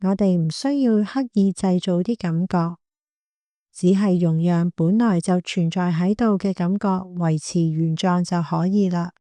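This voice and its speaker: Female meditation guide, Hong Kong Cantonese accent. Gentle and calm, dark relaxed tone, very unhurried delivery. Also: fairly low pitch, dry recording. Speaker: meditation guide, clinical psychologist. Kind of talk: guided body scan meditation.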